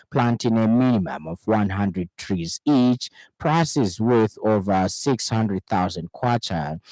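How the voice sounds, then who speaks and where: A man with a Zambian accent reading a scripted radio news bulletin in a formal, measured manner. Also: on the low side, a little mellow, no room sound, poor audio. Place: radio studio in Lusaka.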